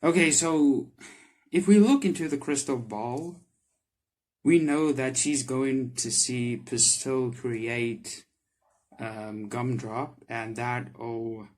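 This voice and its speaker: A man doing casual let's play commentary as he plays a story game, with music behind him. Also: mid-range voice. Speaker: gaming video host reading a visual novel aloud. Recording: background music under the voice.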